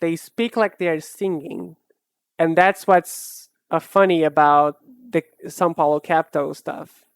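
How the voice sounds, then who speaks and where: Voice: mid-range voice, fairly even intonation, unhurried, vocal fry, dry recording, studio quality. A streamer from Brazil who speaks with a Brazilian accent and has Portuguese as a first language. They chat casually with a live chat, musing and hesitant, often restarting their sentences. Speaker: art streamer.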